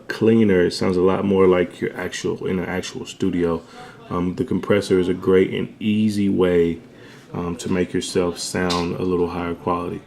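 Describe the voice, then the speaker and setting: A young man with a bassy voice, giving a laid-back, slangy home-studio tutorial. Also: vocal fry, a little mellow. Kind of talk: casual tutorial talk.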